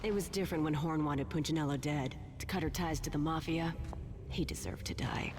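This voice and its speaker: A woman's voice: deep voice, brisk, natural voice. An actress playing a cool, teasing femme fatale hitwoman in a noir video game. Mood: matter-of-fact. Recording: music under the voice.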